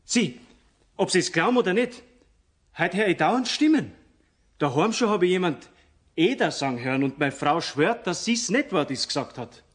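Husky, higher-voiced Bavarian tradesman. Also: lively intonation, moderate pace. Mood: bewildered. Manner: conversational, bewildered. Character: plain-spoken, bewildered male tiler. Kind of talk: children's radio play acting.

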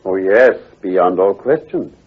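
An actor playing an assured, precise gentleman detective who explains with authority in a radio drama. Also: low-pitched, lively intonation, slow pace, slightly rough, heavily acted. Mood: assured, explanatory. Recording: tinny, hazy old radio recording.

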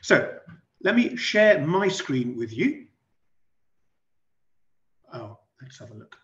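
A man's voice: mid-range voice, very animated, slow pace, fairly bright tone. A middle-aged man from West Wales giving an explanatory online presentation as a college head, posing rhetorical questions and repeating points for emphasis. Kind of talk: online presentation to prospective families. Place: online video call. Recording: dull band-limited video call audio.

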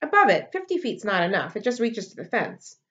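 A woman voicing a bossy, blunt young girl who scolds loudly, in a dull webcam recording. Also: medium pitch, wildly swooping pitch, brisk.

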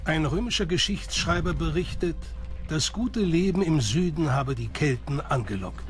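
A man's voice: on the high side, expressive, normal speed. A man reading scripted documentary narration. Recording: music behind the voice. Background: background music.

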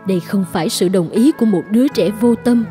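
A woman's voice: medium pitch, fairly quick, over music. A woman narrator reading scripted storytelling narration for a moral tale.

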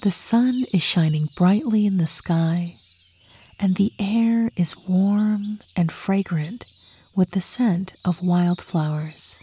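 A woman narrating a guided meditation in a calm, soothing, unhurried way, with a soft voice that turns breathy at phrase ends. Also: mid-range voice, a little hiss or hum. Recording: birdsong and nature ambience behind. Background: birdsong, outdoor nature sounds.

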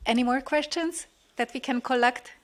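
A woman's voice: sits high, moderate pace. Polite woman moderating a conference. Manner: polite, with many fillers when summarizing. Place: large conference hall. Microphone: desk microphone.

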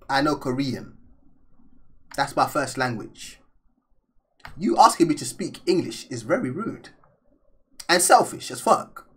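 A man's voice: medium pitch, a bit bright, breathy edge. A young man, Danish by first language, giving casual, joking, slangy reaction commentary indoors on a desk mic. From Denmark. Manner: laid-back, joking, slangy. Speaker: reaction video host. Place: small room indoors.

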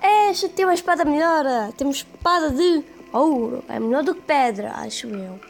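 Hoarse high-voiced Portuguese woman. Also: normal speed. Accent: European Portuguese. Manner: rambling, hesitant. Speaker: gaming youtuber. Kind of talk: casual let's play commentary. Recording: voice over game music.

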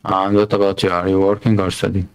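A man from Iraq chatting casually with a stranger, relaxed with short replies. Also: sits low, fast talker, a little hiss or hum. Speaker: stranger on video chat. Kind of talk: casual chat.

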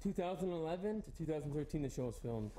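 A man's voice: on the high side, animated, fast, rough recording. A man in his thirties casually narrating a vlog outdoors, talking to viewers and calling them guys, recorded on a handheld camera mic. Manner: chatty, addresses viewers as guys. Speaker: urban explorer vlogger. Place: outdoors at an abandoned game show set. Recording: loud background noise.

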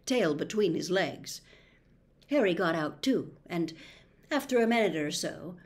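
An older woman narrating a story expressively, in a dramatic, deliberate manner. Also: medium pitch. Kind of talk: expressive audiobook-style narration. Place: small bedroom, indoors.

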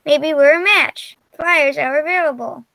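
A woman's voice: high-pitched, unhurried, creaky, bright, forceful tone, no room sound. A teenage girl reading a picture book and its character lines aloud over a video call.

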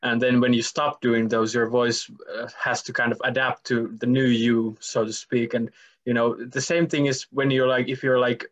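A young man asks loose, hesitant questions through a headset on a video call. His voice sits in a middle pitch, and the call audio sounds dull and lo-fi. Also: flat delivery, moderate pace. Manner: hesitant, filler-heavy questioning. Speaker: interviewer. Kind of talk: casual interview questions.

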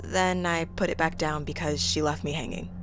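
A woman's voice: sits low, steady tone, moderate pace, over music, lightly acted. A young woman playing a lonely, wry student who narrates an introspective audio drama. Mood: wry wonder. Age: young adult. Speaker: lead and narrator.

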